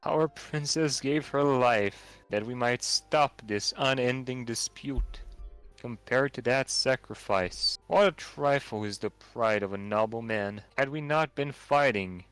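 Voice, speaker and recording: A man's voice: medium pitch, unhurried, fairly bright tone, clean tone, dry recording, soft background music, rough recording. A man playing a proud, devoted young knight in formal, theatrical stage-play style for a game. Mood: solemn regret. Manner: formal, grand, declamatory.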